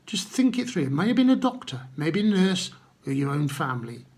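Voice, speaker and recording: A man telling stories to children and addressing them gently, with simple, unhurried phrasing and guiding questions. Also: on the high side, big pitch swings. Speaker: children's Bible storyteller.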